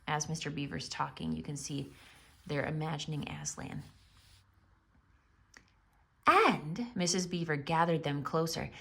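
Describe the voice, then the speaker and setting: A woman narrating a children's story theatrically, with expressive, dramatic emphasis. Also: deep voice, a little slow, gravelly, long pauses.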